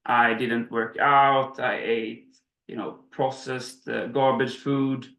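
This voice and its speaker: Gravelly, bright-voiced man from Sweden. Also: medium pitch, little pitch movement, slow pace. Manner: hesitant, many restarts and fillers. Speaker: interview guest. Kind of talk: casual interview. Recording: roomy echo.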